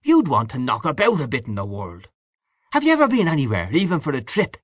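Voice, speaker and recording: A man's voice: fairly high pitch, huge pitch swings, fairly quick, dry recording, studio quality, voice pushed up. A man voicing a hearty, boastful newspaperman in an audiobook, breezy, expansive and patronising. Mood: boastful, cheerful. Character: boisterous, boastful, hearty Irish newspaperman back home. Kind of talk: audiobook character dialogue.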